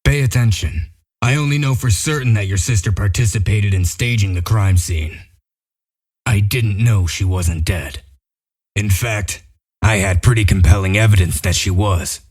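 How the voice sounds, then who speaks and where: A man playing a gruff, shrewd wolf detective in a comic dub, explaining things in a methodical, sardonic way. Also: lowish voice, normal speed, a little mellow. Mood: firm.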